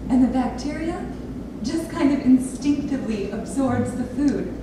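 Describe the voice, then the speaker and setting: Actress as intense grown daughter, echoey distant stage. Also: female voice, sits high, lively intonation, normal speed. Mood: reflective, earnest. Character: grown daughter of an abusive father, pleading, intense. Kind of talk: staged play reading monologue. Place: theatre lab room with an audience area. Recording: very echoey room, distant mic, noisy.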